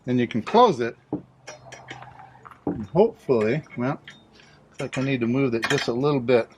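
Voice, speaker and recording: A man's voice: medium pitch, lively intonation, normal speed. Guy doing a casual how-to, plain talking step by step, bit of background noise and clicks. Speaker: DIY install demonstrator. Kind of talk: casual hands-on tutorial narration.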